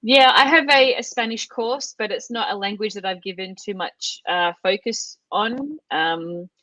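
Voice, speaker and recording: A woman's voice: mid-range voice, measured pace, pushed and bright, no echo, lo-fi sound. A middle-aged Australian woman chatting casually as an interview guest, with lots of fillers and restarts. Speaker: guest, language course creator.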